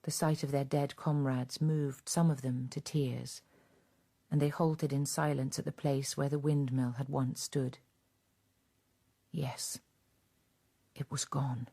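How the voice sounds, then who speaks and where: A woman narrating a radio drama in a measured, scripted storytelling manner. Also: a bass voice, level tone, creaky, warm tone, breathy edge.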